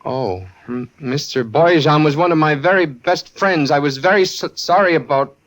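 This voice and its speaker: An actor playing a nervous, defensive young man in a halting radio suspense style, on a vintage recording with music. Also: on the high side, a bit bright, lightly acted. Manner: halting, stammering. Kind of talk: radio suspense acting, first-person narration.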